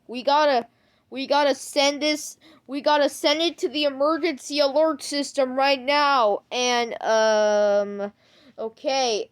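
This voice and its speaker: A woman acting out an improvised amateur skit, speaking haltingly and repeating her words. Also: sits high. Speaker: creator acting out an emergency alert skit.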